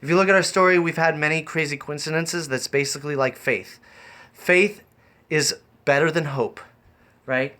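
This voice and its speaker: A man with a fairly high, very bright and forward voice talks off the cuff on a livestream. He rambles as host, circling back over his own phrases in a casual way. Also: normal speed. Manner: rambling, easily distracted, repeats phrases. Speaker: live-streaming host. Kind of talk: improvised casual livestream talk.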